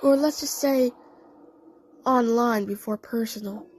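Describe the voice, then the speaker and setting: A woman voicing a female cartoon cat, an uneasy friend confiding in halting phrases. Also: on the high side, a little mellow, faint music behind, close to an everyday voice. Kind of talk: fan audio play dialogue.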